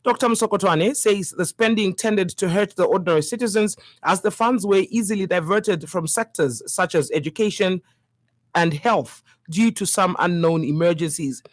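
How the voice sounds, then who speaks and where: A woman with a Zambian accent reading a scripted radio news bulletin in a formal, measured way. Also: fairly low pitch, no echo.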